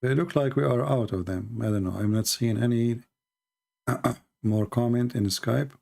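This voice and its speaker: Casting: male live-stream host, English as a second language, fry-laden mellow voice, teasing debater who fires provocative questions. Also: medium pitch, steady tone, unhurried. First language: not English. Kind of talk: unscripted live call-in debate.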